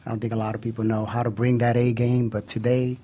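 A man's voice: medium pitch, level tone, fast, warm tone. A man co-hosting a radio talk show in a conversational, bantering style.

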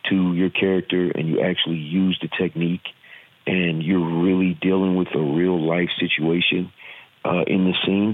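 Deep-voiced Southern man on a tinny line. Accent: Southern American. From Virginia. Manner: earnest, reflective, with frequent fillers. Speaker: actor and former football player. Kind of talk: interview answers.

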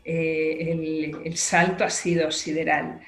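A woman's voice: low-pitched, level tone, slow pace, roomy sound. A middle-aged woman with a Spanish accent, answering interview questions as a political scientist in a reflective, articulate way. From Spain. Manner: reflective, articulate, uses fillers.

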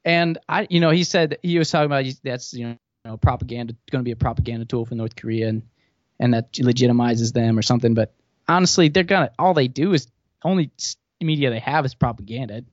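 A man's voice: medium pitch, fast talker, dry recording. Rambling man with a dull, dry sound. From grew up in Thailand. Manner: rambling, many restarts and fillers. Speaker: podcast guest. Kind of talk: casual political chat.

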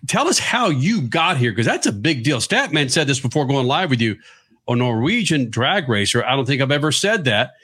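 A man hosting a talk show, loud and slangy, introducing and questioning a guest. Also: mid-range voice, very animated, moderate pace, slightly rough. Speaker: radio show host. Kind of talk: boisterous talk show interview.

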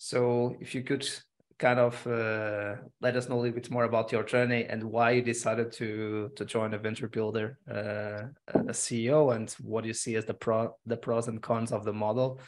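A man hosting a business podcast, with a medium-pitched voice and an upbeat delivery. His questions wander a little, with plenty of fillers. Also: flat delivery, normal speed. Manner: upbeat, loose phrasing with many fillers. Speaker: podcast host. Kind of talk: casual interview hosting.

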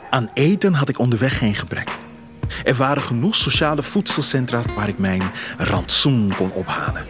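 A man's voice: medium pitch, expressive, moderate pace. Man narrating a reflective story over music. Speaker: writer narrating his own audio story. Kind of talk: scripted first-person narration, audio drama. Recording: music behind the voice.

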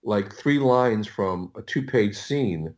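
A middle-aged man telling stories as an audio producer in a casual interview, halting and rambling as he repeats and restarts his words. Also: lowish voice, animated, a little mellow.

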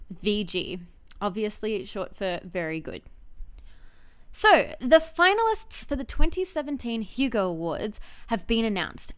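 A woman in her thirties with an Australian accent hosting a podcast solo in a chatty, wry, self-deprecating way. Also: fairly high pitch. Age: 30s. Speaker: podcast host.

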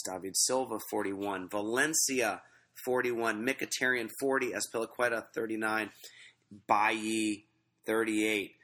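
Lowish-voiced male podcast host with a cold. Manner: relaxed and chatty, with many fillers. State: stuffy from a cold, sniffling. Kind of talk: casual podcast talk reading out fantasy football stats.

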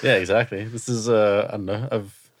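Low flat-voiced man. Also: no echo. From Australia. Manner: halting, repeats words as he restarts. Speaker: illustrator and product designer, guest. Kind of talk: casual interview conversation.

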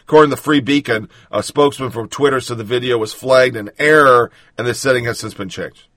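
A man's voice: medium pitch, brisk, fairly bright tone, dry recording. Guy doing a sarcastic news rant, cusses a lot, dead dry sound. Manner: blunt, profane, sarcastic. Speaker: political commentary host. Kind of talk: reading news then ranting commentary.

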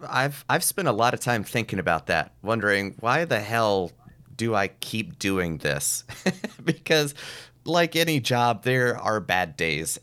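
A man with a clean, smooth and fairly low voice, talking casually as a podcast guest. He tells stories conversationally, slipping into quoted remarks as he goes. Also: sharp tone. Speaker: podcast guest, professional mentalist.

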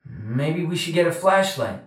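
A man's voice: fairly high pitch, very animated, a little fast, no room sound, pitched above the performer's own voice, low-quality recording. A man voicing a timid, worried boy, nervous, hesitant and unsure of himself in his speech. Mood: uneasy. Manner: nervous, hesitant, stammering at times.

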